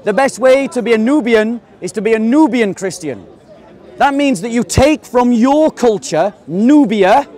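English guy with a really high voice, arguing hard outdoors, firing questions, people murmuring around. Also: darkish tone. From England. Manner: insistent, rapid-fire questioning. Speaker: street debater. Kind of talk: open-air debate. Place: outdoor public park.

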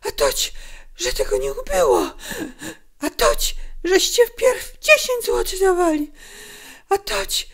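A woman's voice: sits high, expressive, slow pace, pauses often, pitched above the performer's own voice, heavily acted. Woman playing a sick, bitter mother, trembling, swooping voice. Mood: desperate pleading. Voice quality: trembling, broken, breathy whisper at times. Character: sick, exhausted mother, bitter, scolding and pleading.

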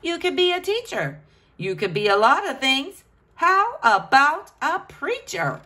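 Female storyteller for young children, higher-pitched, hugely varied sing-song intonation. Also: big pitch swings, a little slow, bright, forceful tone. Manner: addresses children directly, sing-song questioning delivery. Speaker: storyteller reading a picture book to children. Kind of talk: children's picture-book read-aloud.

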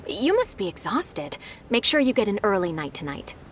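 Woman voicing a young female secretary in a game, polite, encouraging, lively pitch. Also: normal speed, a little hiss or hum, lightly acted. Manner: courteous, encouraging. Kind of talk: game dialogue.

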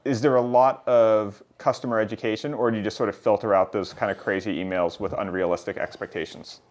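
A man's voice: fairly low pitch, steady tone, a little fast. A middle-aged man hosting a casual interview in an office meeting room, summarizing and checking points as he goes. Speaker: channel host interviewing a builder.

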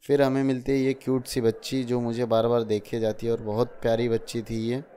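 A young man tells his vlog in a casual voice-over, talking straight to his viewers as friends. His delivery stays level, with little rise and fall. A steady hiss of noise sits under the voice. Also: mid-range voice, fairly quick. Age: young adult. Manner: chatty, addresses viewers as friends. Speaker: vlogger.

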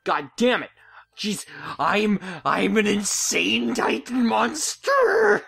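A man's voice: high-pitched, wildly swooping pitch, slow pace, vocal fry, pushed and bright, slightly rough. A man performing earnest amateur drama lines, breaking off into frustrated asides. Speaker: amateur voice actor in a fan audio drama.

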